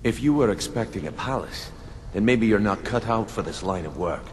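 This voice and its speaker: A man acts out a roguish recruiter for a guild of thieves in a fantasy game. His voice sits fairly low. He talks down to people with wry, smooth condescension, over a light bed of ambient noise. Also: lively intonation, normal speed, close to an everyday voice. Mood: scolding. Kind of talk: fantasy video game dialogue.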